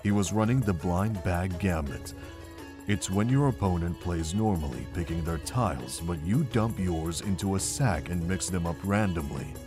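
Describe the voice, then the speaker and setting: A man acts out an older monk with a deep voice, weary but kindly. He tells of the past in a measured, earnest way, as in a fan visual novel. Faint music plays behind him. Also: lively intonation. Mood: grave, earnest.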